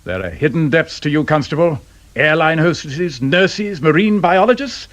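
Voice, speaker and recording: A man's voice: fairly high pitch, animated, moderate pace, a bit bright. An actor playing a wry, sarcastic male investigator with a pedantic, teasing manner and a British accent in a radio drama. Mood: probing, teasing.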